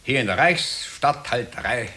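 Radio-drama Nazi careerist: Austrian accent, medium pitch, brassy, jovially condescending. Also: male voice, animated, normal speed, sharp tone. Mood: patronising. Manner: backslapping, condescending, chuckling. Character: smug, jovial Nazi party functionary, self-important careerist. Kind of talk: 1960 radio drama acting.

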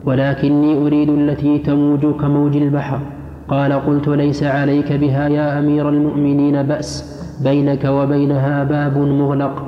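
Man reciting with very animated pitch, echoey hall. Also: normal speed. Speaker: student reading texts aloud to the teacher. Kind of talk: formal recitation of classical Arabic religious texts. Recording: muffled, echoey hall, faint noise.

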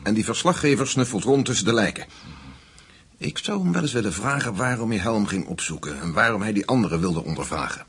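An actor playing a brisk, suspicious American intelligence agent in a Dutch radio play, blunt and probing in his talk. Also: fairly low pitch, animated, fairly bright tone, husky, full character voice.

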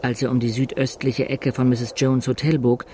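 An actor playing a storyteller who narrates a chronicle for a radio play in a measured, suspenseful way. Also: male voice, medium pitch, flat delivery, warm tone, close to an everyday voice. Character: dramatic male storyteller reading a newspaper chronicle. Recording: narration over music.

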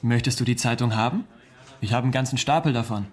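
A man's voice: mid-range voice, fast, natural voice. A man playing a cocky, eager young cub reporter in a German radio drama, boastful and insistent. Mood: eager, proud.

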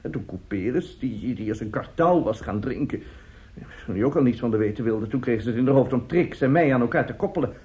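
An actor in a radio drama playing a middle-aged, self-justifying seducer and family friend, speaking haltingly and emphatically. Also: male voice, medium pitch, animated, natural voice. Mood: uneasy confession. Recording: dull, muffled radio play recording.

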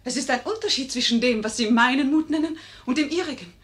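A woman playing a charming, wily lady in a vintage 1950s radio drama. Her voice is fairly high and emotive, with drawn-out theatrical emphasis as she pleads and flatters. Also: natural voice. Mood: awed, flattering. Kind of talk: 1950s German radio play acting.

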